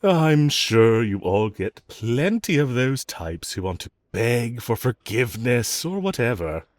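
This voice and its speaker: Male actor as mocking villain, unhurried sarcastic drawl, very expressive, flirtatious, unrepentant, close dry audio roleplay. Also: medium pitch, no room sound. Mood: sarcastic, dismissive. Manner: teasing, sarcastic drawl with chuckles. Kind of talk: audio roleplay acting, addressed to listener.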